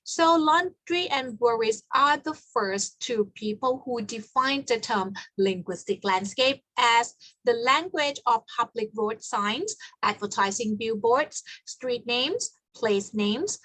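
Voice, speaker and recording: A woman walks listeners through her research in a measured, explanatory and slightly formal manner. Her voice sits fairly high. The sound is dry and close, with no room echo. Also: a bit bright. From Thailand. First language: Thai. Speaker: researcher presenting her study. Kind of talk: academic conference presentation.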